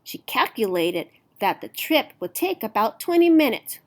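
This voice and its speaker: A woman reading a picture book aloud to children in an expressive, deliberate storytelling manner. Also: on the high side. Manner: deliberate, animated storytelling with emphasized words.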